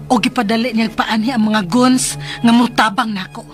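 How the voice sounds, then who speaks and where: A woman plays a vengeful radio drama villainess in a fairly high voice. She schemes aloud with deliberate menace over faint music. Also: steady tone, lightly acted. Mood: gloating menace. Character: scheming, vengeful villainess plotting with hired goons.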